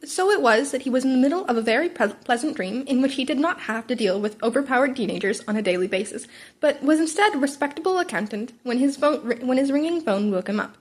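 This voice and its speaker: Female amateur audiobook-style narrator, slightly high husky voice, restarts stumbled phrases. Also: lively intonation, fast talker. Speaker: podfic narrator. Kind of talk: amateur fan fiction reading.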